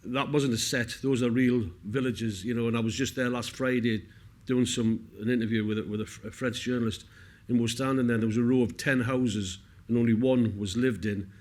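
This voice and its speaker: A man's voice: mid-range voice, fairly even intonation, a little fast, hardly any pauses. A man with a North East English accent, speaking earnestly and emphatically at a public Q&A, repeating words for weight. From north-east England. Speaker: film cast member. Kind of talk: heartfelt speech at a public Q&A. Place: on stage at a cinema Q&A.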